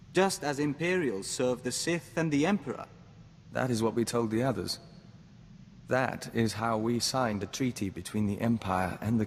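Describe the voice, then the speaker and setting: A man voicing a gentle, devoted diplomat of an insect hive mind, formal and measured, in a video game. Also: mid-range voice, close to an everyday voice. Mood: calm, hopeful. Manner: formal, measured, speaks of himself as we. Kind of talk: scripted video game dialogue acting. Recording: long reverb, noisy game ambience.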